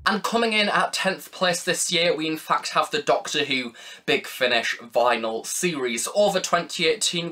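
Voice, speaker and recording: A man presents a review countdown as the channel's host. His voice is very bright and forceful at a medium pitch, and his phrasing is wordy and formal, in the manner of a prepared script.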